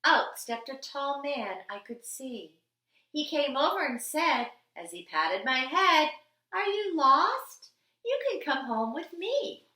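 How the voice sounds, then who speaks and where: A woman reading a children's picture book aloud like a teacher, with an expressive, deliberate, sing-song delivery on the rhymes. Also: sits high, echoey room. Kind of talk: animated children's storybook reading.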